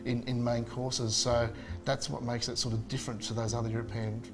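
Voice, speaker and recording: A man's voice: mid-range voice, level tone, brisk. A man, a chef chatting casually and enthusiastically in an interview, with many fillers and restarts. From Spain.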